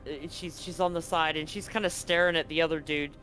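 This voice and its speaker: Higher-voiced man as tabletop game master. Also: fairly even intonation, brisk, fairly bright tone, faint music behind, poor audio. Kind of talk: improvised narration of a tabletop horror game.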